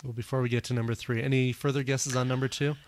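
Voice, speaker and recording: A man hosting a music podcast in casual chat, his voice a little hoarse, talking with plenty of fillers. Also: mid-range voice, flat delivery, rapid-fire, background noise. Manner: rambling, many fillers. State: slightly hoarse, losing his voice. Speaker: podcast host.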